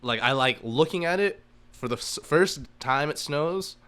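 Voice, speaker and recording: Clear-voiced American man in his twenties. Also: on the high side, lively intonation, a little slow, bright, forceful tone. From Massachusetts, United States. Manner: informal, swearing, frequent rhetorical "right?". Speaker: podcast guest. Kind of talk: casual chat.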